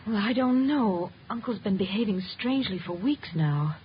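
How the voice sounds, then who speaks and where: An actress playing an anxious, hesitant young niece in a 1950s radio drama. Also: female voice, mid-range voice, animated, normal speed, husky. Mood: uneasy. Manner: hesitant, uncertain. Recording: muffled, noisy vintage radio broadcast.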